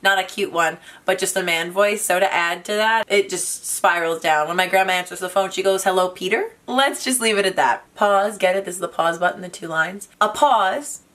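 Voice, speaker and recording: A young woman with a raspy voice and a slight cough, chatting casually to camera as a beauty vlogger, joking about herself. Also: lowish voice, normal speed, few pauses, fairly bright tone. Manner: chatty, self-mocking. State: has a cough.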